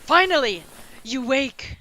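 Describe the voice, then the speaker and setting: A woman's voice: high-pitched, animated, slow, pushed and bright, full character voice. A woman playing a bold, spirited warrior sister in a fantasy audio drama, with formal diction. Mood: relieved. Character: bold, battle-loving warrior sister, protective and hearty.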